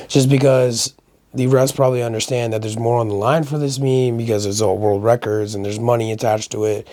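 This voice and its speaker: Man, mid-pitched, slightly raspy from a recent sickness, casual solo commentary, opinionated, conversational. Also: darkish tone, no echo. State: getting over a sickness. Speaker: host of a powerlifting recap channel.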